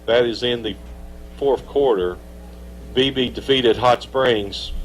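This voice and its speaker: A man announcing a high school football game on live radio, chatting through scores and interviewing the coach. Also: slow pace, slight background noise, low-quality recording. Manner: upbeat, conversational, asks long setup questions. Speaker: play-by-play announcer. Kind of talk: live sports radio commentary.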